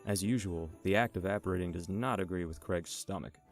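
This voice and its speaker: A man's voice: low-pitched, fairly quick, loud music behind the voice, lightly acted. A man narrating a radio drama as a scripted storyteller. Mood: storytelling.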